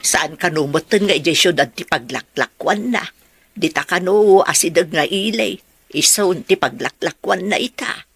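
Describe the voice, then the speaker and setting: A woman's voice: lowish voice, moderate pace, a bit bright, breathy edge. A woman acting an easygoing villager in an audio drama, with a Filipino Ilocano accent. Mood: chatty.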